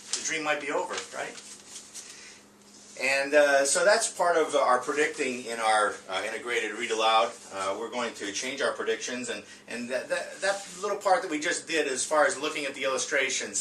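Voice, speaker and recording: A man teaching a reading lesson in an informal, halting way, repeating words and often checking in with the listener. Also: medium pitch, pushed and bright. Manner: halting, frequent stammered repeats and check-in tags. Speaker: teacher demonstrating a read-aloud lesson. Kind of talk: classroom reading and phonics lesson, informal and unscripted. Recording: roomy, distant mic with faint noise.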